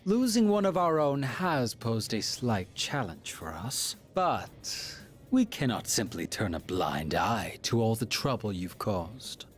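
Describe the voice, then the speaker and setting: An actor playing a coldly courteous game villain with formal, archaic, taunting speech who preaches like a false prophet. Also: male voice, fairly low pitch, big pitch swings, slow pace. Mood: solemn, smug. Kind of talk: English video game voice acting. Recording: music under the voice.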